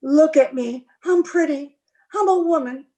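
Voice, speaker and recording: A woman plays an unhappy, lovelorn young bride in a gothic radio play. Her voice is high and her pace is slow. She is pleading and emotional, in a theatrical old radio manner. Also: dark tone. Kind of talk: old-time radio acting.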